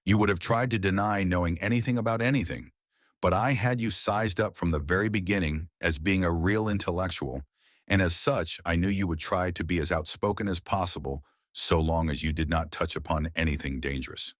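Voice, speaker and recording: A man voicing a shrewd, confident male detective who questions in a sharp, probing, sarcastic way, in an audiobook. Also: low voice, brisk, no echo, studio quality. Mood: probing, accusing. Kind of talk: audiobook character voice.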